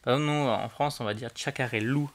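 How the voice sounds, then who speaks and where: A young man speaks as a sommelier guest, walking through the steps of a wine tasting. He explains things eagerly and patiently, in a voice of medium pitch. He often rushes ahead in fast bursts. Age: young adult. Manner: enthusiastic and didactic, often speeds up.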